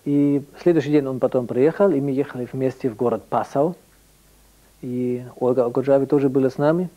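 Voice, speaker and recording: German man speaking halting Russian. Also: medium pitch, fairly even intonation, warm tone, muffled recording. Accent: German, with a Caucasian tinge. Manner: halting, searching for Russian words. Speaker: interview guest. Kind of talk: storytelling in conversation. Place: home living room with bookshelves.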